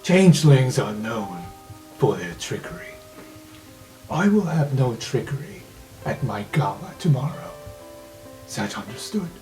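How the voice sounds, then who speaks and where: A man's voice: mid-range voice, big pitch swings, a little mellow, soft background music. A man voicing a stern, haughty noble lion-man patriarch in a tabletop game, curt and probing. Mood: stern, suspicious. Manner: curt, probing questions with measured pauses.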